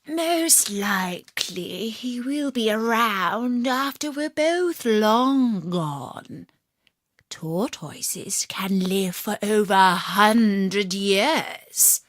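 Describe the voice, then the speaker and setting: A woman voicing a sly, know-it-all male fox in a children's story, speaking slowly and knowingly. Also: medium pitch, expressive, no echo, studio quality.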